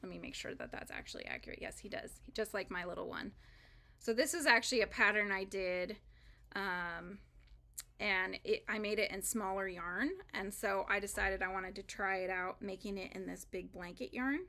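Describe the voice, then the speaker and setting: A woman's voice: mid-range voice, very animated, moderate pace, gravelly, sharp tone. A woman hosting a live crochet stream, chatting casually and rambling with frequent fillers and laughs as she works. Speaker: crochet livestream host.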